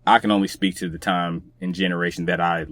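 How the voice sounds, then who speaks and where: A man's voice: low-pitched, little pitch movement, fast talker, a bit bright, no room sound. A man speaking as a podcast guest in casual, reflective conversation, with a laid-back, colloquial, musing manner.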